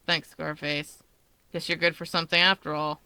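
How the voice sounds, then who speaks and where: A woman voicing a gruff, bratty teenage boy who is curt, grudging and insulting, in a story reading. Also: deep voice, little pitch movement, normal speed, sharp tone, no room sound, voice pushed down, full character voice. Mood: snide.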